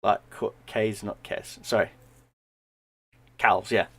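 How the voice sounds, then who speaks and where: A man, hoarse and losing his voice, chatting loosely to viewers at home at the end of a stream, rambling and repeating words. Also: lowish voice, level tone, slow pace, fairly bright tone. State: losing his voice, sinus infection. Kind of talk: casual stream sign-off chat.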